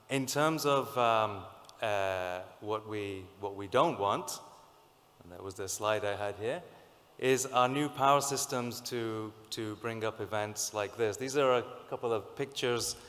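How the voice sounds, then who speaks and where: Croaky-voiced man in a reverberant hall. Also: on the low side, fairly bright tone. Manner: halting, many fillers and restarts. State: sore throat, croaky. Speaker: conference speaker. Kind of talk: technical presentation. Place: conference hall.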